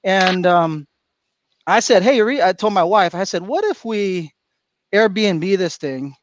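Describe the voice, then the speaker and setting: A middle-aged man from Florida, a guest on a livestream, telling stories in an animated way and laughing often. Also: high-pitched, takes it slowly, no echo. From Florida, USA. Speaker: guest speaker. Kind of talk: casual livestream chat. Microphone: video call.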